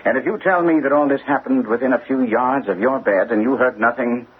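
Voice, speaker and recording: A man's voice: mid-range voice, brisk, phone-quality sound, natural voice. Man acting shrewd detective on thin old radio. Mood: emphatic. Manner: precise, probing, theatrical. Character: shrewd, self-assured gentleman detective, courteous but commanding. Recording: thin, band-limited old radio recording, faint noise.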